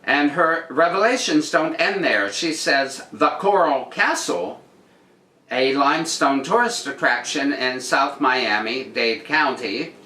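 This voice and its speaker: A man reads aloud and adds his own casual remarks in a dry, deadpan way. His delivery is unhurried and his voice is bright and forward. The recording is echoey, like an untreated room. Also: fairly high pitch. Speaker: host reading tabloid articles aloud with commentary. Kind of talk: reading aloud with casual wry commentary. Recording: roomy echo with noticeable background noise.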